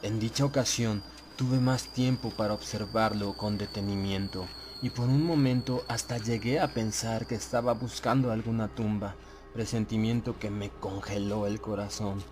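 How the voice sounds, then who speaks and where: A man narrating a horror audiobook in a solemn, measured, suspenseful way. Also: fairly low pitch, fairly even intonation. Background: prominent background music.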